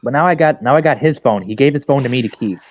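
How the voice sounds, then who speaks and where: A man's voice: medium pitch, quick pace, no echo. A man who just woke up, calling into a livestream by phone, stammering and repeating himself. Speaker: caller on a livestream. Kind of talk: casual chat. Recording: dull phone audio.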